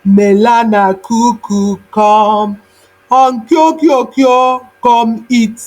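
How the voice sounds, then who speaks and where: A woman voicing a cunning forest beast in a folktale, imitating a parent's clear sing-song call to lure children. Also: fairly high pitch, a little mellow. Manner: slow, clear sing-song luring chant.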